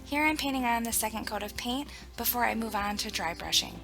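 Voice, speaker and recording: A woman hosting her own home decor channel, her voice raspy from a recent illness, walking viewers through each craft step in a chatty, plain way. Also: a little high, a little fast, music in the background. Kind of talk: casual step-by-step craft tutorial.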